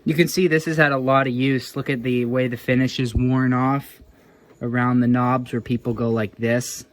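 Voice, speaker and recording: A man's voice: medium pitch, little pitch movement, moderate pace, slight background noise. Man talking casually through a repair. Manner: informal, thinking aloud. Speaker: hobbyist radio repairer. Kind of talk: casual hands-on repair commentary. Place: indoors.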